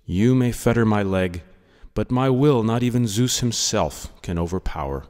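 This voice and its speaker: A man reading philosophy as a scripted dramatic monologue, in a measured, deliberate manner. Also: on the low side, expressive, darkish tone. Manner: measured, deliberate delivery in a radio monologue style. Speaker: audiobook reader. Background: music.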